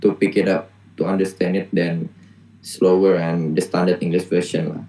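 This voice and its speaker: A man's voice: deep voice, level tone, normal speed, warm tone, slight background noise, low-quality recording. A young man from Indonesia with an Indonesian accent, answering interview questions hesitantly, English not his first language. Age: young adult. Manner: hesitant, many "like" and "you know" fillers.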